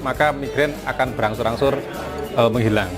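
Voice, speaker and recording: A man's voice: medium pitch, normal speed. A man from Malang giving a hesitant testimonial as a self-employed customer. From Malang, East Java, Indonesia. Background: background music.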